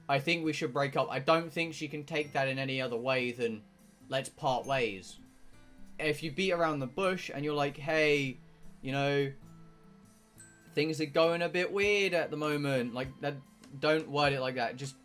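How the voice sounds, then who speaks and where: Bright-voiced British man chatting on stream. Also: fairly high pitch, unhurried, faint music behind. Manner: blunt, sweary, joking. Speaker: live streamer playing a visual novel. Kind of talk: casual rambling chat with viewers.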